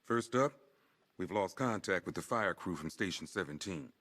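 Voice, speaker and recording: A man's voice: sits low, animated, moderate pace, a bit bright. A man playing a plain-spoken police officer giving a briefing, informal and matter-of-fact, in an amateur video game fan dub.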